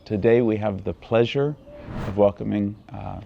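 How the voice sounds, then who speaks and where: A man working as a journalist interviewer, asking questions in a halting way with many fillers. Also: lowish voice, fairly even intonation, vocal fry, lo-fi sound, a little hiss or hum.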